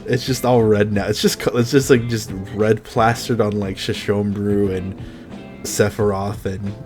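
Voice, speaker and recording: A man with a lowish voice talks in a loose, rambling way, dropping curse words and breaking into laughter often. He comes across as a laid-back podcast host in casual chat, with faint music under the talk. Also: level tone, moderate pace, darkish tone.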